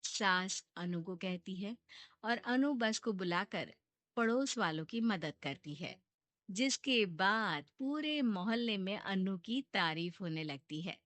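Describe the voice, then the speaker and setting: A woman's voice: mid-range voice, animated, takes it slowly, a bit bright, no echo. A woman reading a scripted moral story as its narrator.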